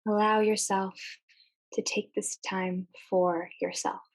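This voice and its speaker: Female meditation guide, mid-range voice, very slow and gentle delivery with long pauses. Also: mid-range voice, little pitch movement, low-quality recording. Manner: gentle, unhurried, with long pauses between short phrases.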